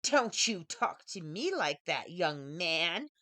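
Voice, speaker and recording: A woman voicing a scornful old hag witch in a storybook, scolding with hissing, drawn-out emphasis. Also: fairly low pitch, very animated, sharp tone, no room sound, clean studio sound, voice pushed down, full character voice. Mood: angry scolding.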